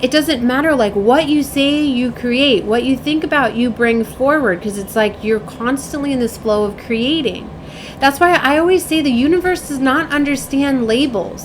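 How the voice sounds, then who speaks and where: A woman's voice: high-pitched, slight background noise. A woman in her late thirties giving a casual, rambling spiritual reading as a tarot reader, with an emphatic manner.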